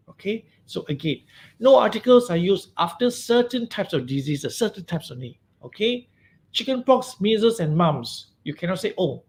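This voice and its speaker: Malaysian English male lecturer, high register, emphatic and repetitive, keeps asking "okay?". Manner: emphatic, repeats key words, frequent "okay?" checks. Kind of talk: webinar grammar lesson.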